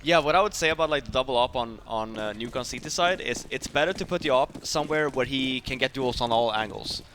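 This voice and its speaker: A man's voice: medium pitch, a little fast, a bit bright, a little hiss or hum. A man casually explaining game tactics step by step as an esports analyst.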